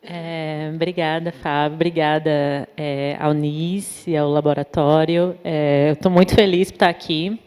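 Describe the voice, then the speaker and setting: A woman from Natal with a northeastern Brazilian accent, a psychologist giving an informal talk at a university event, pausing often with fillers. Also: sits low, fairly even intonation, a little mellow, clean tone. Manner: many fillers, thoughtful and hesitant. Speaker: invited speaker, psychologist. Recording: long hall reverb.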